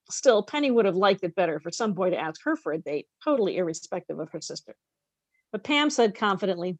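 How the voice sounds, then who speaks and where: A woman's voice: mid-range voice, dry recording. A woman co-hosting a podcast, expressively reading a novel aloud with casual, conversational asides.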